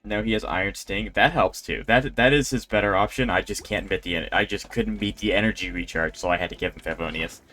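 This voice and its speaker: Low-voiced man streaming over game music. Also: a little fast, few pauses, bright, forceful tone. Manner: rambling, conversational. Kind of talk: casual livestream chat. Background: video game music.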